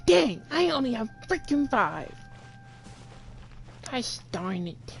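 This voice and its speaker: A woman's voice: fairly high pitch, very animated, slow, creaky, a little hiss or hum. A teenage girl giving casual gameplay commentary, muttering offhand to herself. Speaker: game streamer.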